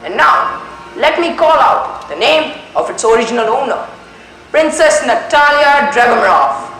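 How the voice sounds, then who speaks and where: A young performer in a school play acting as a male detective, declaiming with deliberate, dramatic pauses. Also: sits high, animated, pushed and bright, heavily acted. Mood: accusing, deliberate. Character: theatrical male detective solving a murder. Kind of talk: amateur school stage play monologue. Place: school stage. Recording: echoey stage recording with music behind.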